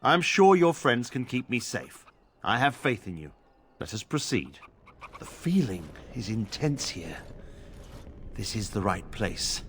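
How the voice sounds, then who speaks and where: An actor playing a grave, pious templar in a fantasy video game, speaking formally and solemnly. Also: male voice, medium pitch, big pitch swings, unhurried, fairly bright tone, a little hiss or hum. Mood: grave, hopeful. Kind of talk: video game drama acting.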